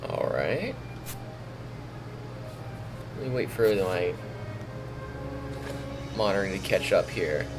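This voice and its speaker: A man's voice: deep voice, slow, pauses often, music in the background. A man streaming on a weekend morning, chatting casually with a groggy, froggy morning voice. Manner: relaxed, talking to himself while troubleshooting. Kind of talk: casual live-stream chat.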